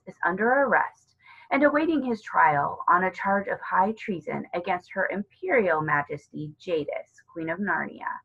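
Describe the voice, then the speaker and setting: A woman's voice: medium pitch, gravelly, slightly rough, phone-quality sound. A woman reading out a stern, pompous royal notice from a storybook in a formal, proclaiming way.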